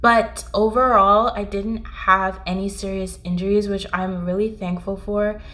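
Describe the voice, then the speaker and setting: A woman's voice: mid-range voice, flat delivery, measured pace, pushed and bright. A woman vlogger narrating a storytime in a conversational, reflective way.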